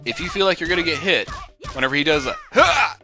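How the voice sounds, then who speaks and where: A man's voice: a little high, racing. Chatty man commenting over game music. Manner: chatty, jokey, rambling, with loud whoops. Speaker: let's play commentator. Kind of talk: casual gameplay commentary.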